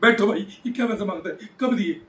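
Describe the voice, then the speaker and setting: A man's voice: high-pitched, a little fast, fairly bright tone. A man giving political commentary in an interview, emphatic and repeating phrases for effect. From Haryana, India. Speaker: former local chairman being interviewed. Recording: roomy, echoing sound.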